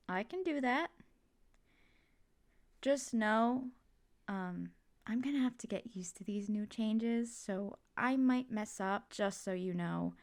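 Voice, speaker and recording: Slow-talking, supportive mom played by a woman. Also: mid-range voice. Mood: gentle, reassuring. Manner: casual, reassuring, jokey, gently expressive. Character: supportive, playful, protective mother of a teenager. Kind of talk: ASMR comfort roleplay, one side of a conversation.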